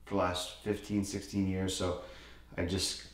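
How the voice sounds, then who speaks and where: A man's voice: deep voice, flat delivery, measured pace, noisy background. A man in his thirties, a plumber, casually talking through a hands-on demonstration at a workbench, plain and step by step with fillers. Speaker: plumbing instructor. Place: indoor workshop bench.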